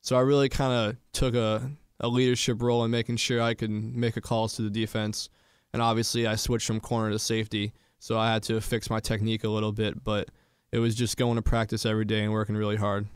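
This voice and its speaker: A man's voice: fairly low pitch, monotone, a little fast, smooth, dry recording, studio quality. A young man from Florida, a college athlete casually answering questions in an interview. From Florida, United States. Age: young adult. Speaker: college football player guest.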